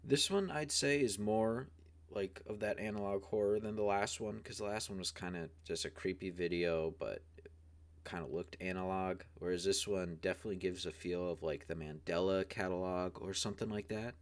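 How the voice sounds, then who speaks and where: A man's voice: low voice, normal speed. A man hosting a video with casual commentary, talking in a rambling, informal, hedging way. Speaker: video host.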